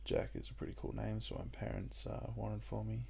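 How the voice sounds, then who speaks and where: A young Australian man with a croaky voice, chatting casually and rambling with lots of ums while he plays a puzzle game. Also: fairly low pitch, brisk, slight background noise. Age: young adult. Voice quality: croaky, gravelly voice. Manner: rambling and relaxed, with many ums. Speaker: gaming YouTuber. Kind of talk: casual let's-play commentary.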